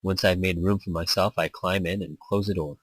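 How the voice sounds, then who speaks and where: Low-voiced man narrating a visual novel. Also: steady tone, brisk, no room sound. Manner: informal, stumbles over lines and rereads them. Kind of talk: story read-aloud in a game playthrough. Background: background music.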